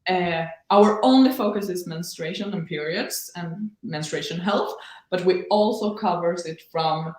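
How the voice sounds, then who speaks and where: Lower-voiced woman, very echoey room. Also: a little slow, a little mellow. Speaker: nonprofit representative, interview guest. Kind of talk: casual interview explanation.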